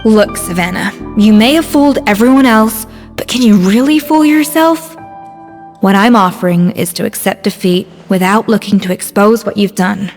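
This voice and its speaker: An actress voicing a composed adult female head chef in a video game, speaking in a calm, measured and firm way. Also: mid-range voice, long reverb tail, faint music behind, close to an everyday voice. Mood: earnest.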